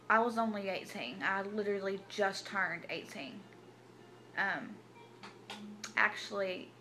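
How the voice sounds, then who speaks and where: A woman, telling her personal story to camera in a halting, hesitant way with many restarts. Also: mid-range voice, fairly bright tone, a little hiss or hum, rough recording. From Tennessee. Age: 20s. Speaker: vlogger. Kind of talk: personal confessional vlog.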